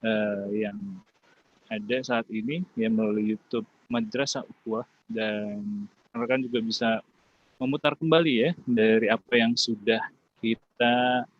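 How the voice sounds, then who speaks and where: A man hosts an online study session, introducing the speaker politely. He pauses often with fillers and tag words. His voice comes through slightly muffled. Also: lowish voice, steady tone, dry recording. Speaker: moderator.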